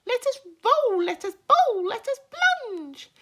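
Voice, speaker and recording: Woman playing a high-pitched, boisterous storybook centipede. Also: very animated, slow, noisy background, heavily acted. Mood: rousing. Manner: shouting, chanting rhymes with relish. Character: excited, boisterous, boastful male centipede in a children's story.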